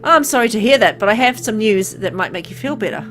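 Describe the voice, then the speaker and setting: A woman reading aloud as a kind, caring male doctor in a game, speaking gently and earnestly. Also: fairly high pitch, expressive, fast, faint music behind. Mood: reassuring.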